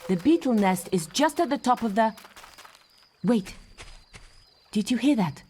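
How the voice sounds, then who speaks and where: A woman's voice: fairly high pitch, lively intonation, a little slow, dark tone, breathy edge. An actress playing a cocky, competitive young female apprentice warrior with a brash, teasing manner in a fantasy video game. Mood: alert, urgent.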